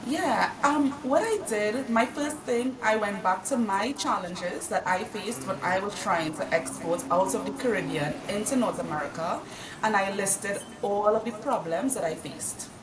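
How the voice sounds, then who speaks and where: A woman from Trinidad and Tobago, a podcast guest explaining her consulting work in a measured, earnest way. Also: medium pitch, expressive. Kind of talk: casual interview. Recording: roomy, distant mic with crowd noise.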